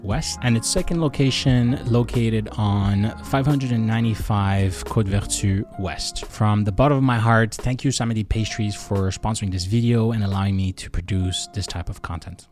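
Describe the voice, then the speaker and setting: A man hosting an interview podcast in a calm, measured way, with a somewhat low voice. Soft music plays faintly behind him. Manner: calm, measured, reads sponsor message. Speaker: podcast host.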